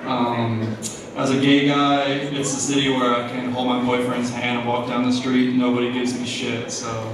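A man's voice: medium pitch, flat delivery, moderate pace. Man giving a casual speech in an echoey hall. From New Jersey. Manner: casual, joking, with many fillers. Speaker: award honoree giving acceptance speech. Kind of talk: acceptance speech. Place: large hall, on stage at a gala. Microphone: podium mic through speakers, recorded from the audience. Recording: echoey hall from far off, noisy crowd. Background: crowd murmur and room noise.

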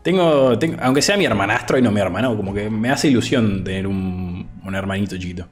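A man's voice: on the low side, a little fast, soft background music. A young man in his twenties with an Argentine accent, chatting casually on a gaming stream in a laid-back, chatty way, with a headset mic at home. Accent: Argentine Spanish. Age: 20s. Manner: laid-back, chatty, says "boludo" often, reads chat aloud. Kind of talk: casual gaming livestream chat. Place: home room, indoors. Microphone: over-ear headset.

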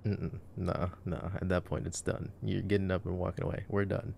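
Very gravelly, low-voiced young man. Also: flat delivery, normal speed, a little mellow, a little hiss or hum. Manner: sarcastic, slangy, low-key. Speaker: ASMR roleplay performer. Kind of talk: ASMR boyfriend roleplay, casual movie commentary.

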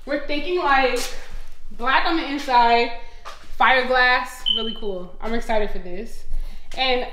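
Echo rings around a young American woman with a fairly high voice, recorded in empty rooms indoors. She talks in a casual, upbeat way, like a vlogger showing friends around her house. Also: takes it slowly, sharp tone, slight background noise. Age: young adult. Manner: upbeat, enthusiastic. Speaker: vlogger giving a home tour. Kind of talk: casual chatty walk-through to camera.

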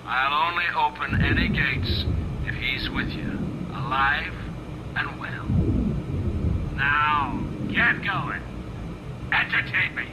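A man's voice: fairly high pitch, expressive, slow, pauses often, heavy background noise. An actor playing a sadistic, mocking director who taunts his captive and gives orders in a video game. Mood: mocking, sinister. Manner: sardonic, taunting, theatrical. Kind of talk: dramatic video game voice acting.

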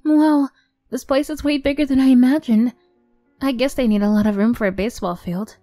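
A woman's voice: high-pitched, steady tone, fairly quick, mellow, no echo, faint music behind, voice pushed up, natural voice. A woman playing an affectionate young girlfriend, a sweet but tough-edged former bully, in an ASMR roleplay. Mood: cheerful, eager.

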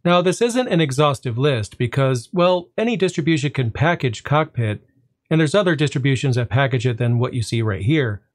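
A man narrating a screen-recorded walkthrough of a server tool, speaking at a middle pitch. He explains each step in an easygoing way and likes a little pause before the payoff. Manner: relaxed explanatory, drawn-out "well" before punchlines. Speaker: tech tutorial host.

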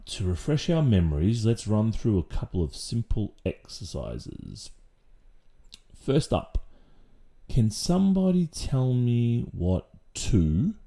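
A dominant, exasperated Australian male teacher, played by a man in an ASMR roleplay. His voice is low and very mellow, with a dark tone. He takes his time over each phrase, dry and sarcastic, with pointed pauses. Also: heavily acted. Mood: brisk, impatient, then dryly encouraging.

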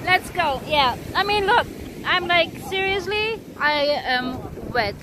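A woman's voice: very high-pitched, lively intonation, takes it slowly. A woman vlogging with a handheld phone on an ocean beach, exclaiming and laughing often as she narrates. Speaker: family vlogger filming. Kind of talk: excited casual narration while filming. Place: outdoors on an ocean beach with surf. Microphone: handheld phone camera. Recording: loud surf noise behind.

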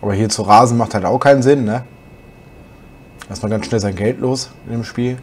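A young man with a slight cold, hoarse, chatting casually as he plays a truck driving game for his channel. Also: on the low side, moderate pace, a little hiss or hum. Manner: relaxed, chatty, musing aloud while driving. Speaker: gaming channel host.

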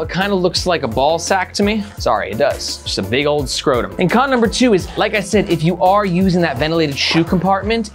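A man in his thirties hosting a casual, joking product review at a desk in an indoor studio, showing bags with his hands as he talks. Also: fairly high pitch. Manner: upbeat, joking, animated with hand demos. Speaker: backpack reviewer and host.

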